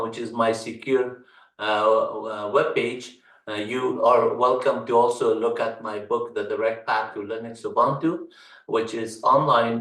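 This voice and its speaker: A man from Afghanistan, a native Dari speaker, talks through a computer tutorial in a halting way, filling pauses and listing items one by one. His voice sits fairly low and stays flat. The mic is some way off in a room with noticeable echo. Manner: halting, many fillers, lists items slowly. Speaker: channel host and tech instructor. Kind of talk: casual screen-recorded tutorial talk. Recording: roomy echo, mic at a distance.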